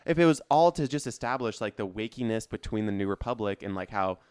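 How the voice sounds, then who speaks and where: A man with a clean, smooth voice, recorded close and dry, chats as a podcast co-host. He is opinionated and emphatic, speaking in loose slang full of fillers. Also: lowish voice, expressive, fast, darkish tone, dry recording. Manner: emphatic, slangy, peppers speech with "like". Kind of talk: casual, opinionated pop culture chat.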